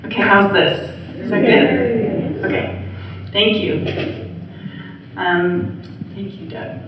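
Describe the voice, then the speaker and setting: Slow-spoken woman asking questions in a hall. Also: mid-range voice. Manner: halting, searching for words as she asks. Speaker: interviewer onstage. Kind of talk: live onstage interview. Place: large hall at a live event. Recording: echoey hall from far off, faint noise.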